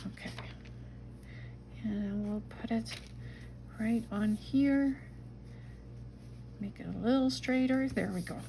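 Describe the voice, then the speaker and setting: A middle-aged woman from the Netherlands with a Dutch accent, chatting to herself and her viewers while making a journal page, sometimes dropping to a soft whisper. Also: on the high side, slow pace, vocal fry, long pauses, a little hiss or hum. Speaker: junk journal crafter. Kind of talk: casual craft-along narration.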